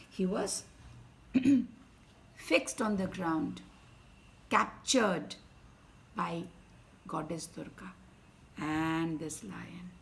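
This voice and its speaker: A woman with an Indian English accent reading a story aloud to children, swinging from loud emphasis to soft whispers. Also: fairly low pitch. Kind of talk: expressive bedtime story reading.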